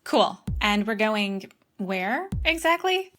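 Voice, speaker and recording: A woman's voice: medium pitch, sing-song, slow pace, fairly bright tone, slight background noise. A woman playing an impatient, sarcastic pilot in an audio comedy, curt and exasperated. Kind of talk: audio comedy drama dialogue.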